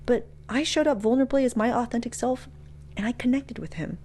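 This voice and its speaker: A woman's voice: fairly high pitch, a little fast, darkish tone, slight background noise. A woman speaking as a motivational speaker, casual and frank, with emphatic delivery and slangy asides. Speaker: motivational speaker and podcast host. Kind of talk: motivational talk with personal anecdotes.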